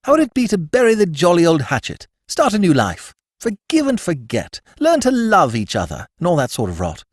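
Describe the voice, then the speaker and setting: A man's voice: sits high, wildly swooping pitch, brisk, breathy edge, no echo, clean studio sound, voice pushed up, heavily acted. A man putting on a breezy toff. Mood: chatty. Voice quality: airy, chattering drawl. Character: breezy young upper-class Englishman, chatty and cheerful.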